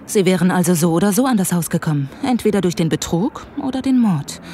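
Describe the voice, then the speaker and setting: An actress playing a determined police detective inspector in a radio play. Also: female voice, fairly low pitch, expressive, fast talker, mellow, a little hiss or hum, lightly acted. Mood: stern, disapproving.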